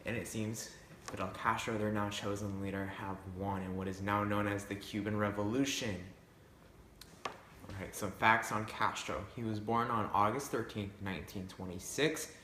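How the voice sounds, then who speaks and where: Bright-voiced man reading news, echoey room. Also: on the low side. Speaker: newsreader. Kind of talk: amateur scripted newsreel narration. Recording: echoey room with faint background noise.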